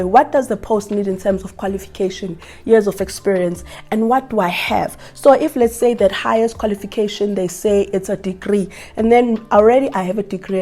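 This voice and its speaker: A young South African woman with a South African accent, talking casually to camera and giving job-application advice while hoarse from flu. She talks earnestly, repeating and restarting her phrases. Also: medium pitch, warm tone.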